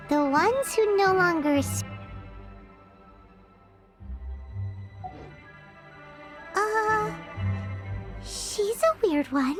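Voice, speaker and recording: Tiny blunt kid sidekick for a game, super squeaky, swoops everywhere, music behind. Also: female voice, exaggerated voice. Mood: bewildered. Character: tiny childlike floating girl companion, blunt and puzzled. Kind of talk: video game voice acting.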